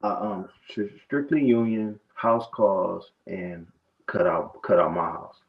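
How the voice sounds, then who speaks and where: A man's voice: sits low, takes it slowly, creaky. A man, a barber telling his story in a loose, conversational way full of restarts. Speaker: barber guest. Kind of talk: casual interview talk. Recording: dull, muffled lo-fi sound.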